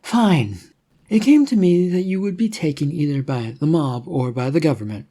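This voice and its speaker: A narrator voicing a brisk, cocky, evasive woman rescuer in an adventure audiobook, with a breezy, dismissive manner. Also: medium pitch, expressive, mellow, voice pushed up. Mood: breezy, impatient. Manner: breezy, dismissive, self-assured.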